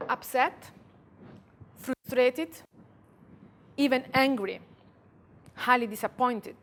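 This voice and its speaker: A woman from Italy with an Italian accent, giving a conference talk and guiding the audience through a calm visualization. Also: sits high. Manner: calm, slow guiding voice, speaks to listeners directly. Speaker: conference speaker. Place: conference room.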